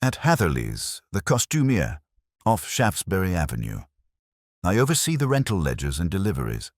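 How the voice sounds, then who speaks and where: A man's voice: sits low, huge pitch swings, normal speed, dry recording, clean studio sound, voice pushed up, exaggerated voice. A man voicing a nervous, bewildered costumier's clerk in an audiobook mystery, formal, hesitant and deferential. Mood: troubled.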